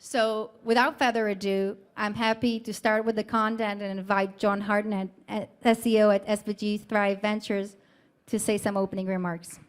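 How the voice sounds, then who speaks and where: A woman's voice: mid-range voice, level tone, moderate pace, smooth. A woman introducing the next speaker at a live event in an upbeat, announcing manner.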